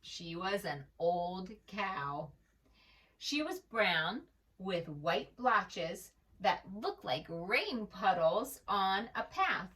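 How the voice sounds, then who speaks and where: A woman telling a children's story in an expressive, gentle manner, as a read-aloud for preschoolers. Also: medium pitch, drawn-out words, far from the mic. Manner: expressive, gentle, asks the listener questions.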